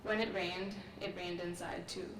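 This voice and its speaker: A young woman from Sri Lanka giving a measured, earnest graduate speech at an outdoor commencement through a podium microphone. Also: on the low side, little pitch movement. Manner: measured, earnest, reflective delivery. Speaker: graduate student speaker. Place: large outdoor courtyard ceremony. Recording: echoey, distant, with noise.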